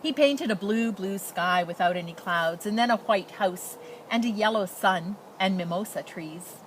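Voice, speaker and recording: A woman reading a children's picture book aloud as a storyteller in expressive narration. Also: medium pitch, moderate pace, low-quality recording. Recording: noticeable background noise.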